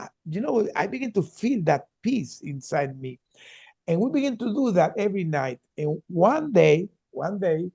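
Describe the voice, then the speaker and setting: Earnest man, high gravelly voice. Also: dark tone. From Venezuela. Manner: earnest, repeats words and restarts often. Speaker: keynote speaker. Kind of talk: storytelling talk on a video call.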